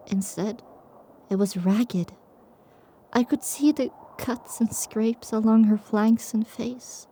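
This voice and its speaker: A woman's voice: mid-range voice, mellow, natural voice. A woman playing a reflective pony survivor, narrating her own story in a dramatic audiobook style. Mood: somber. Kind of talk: dramatic first-person scripted narration.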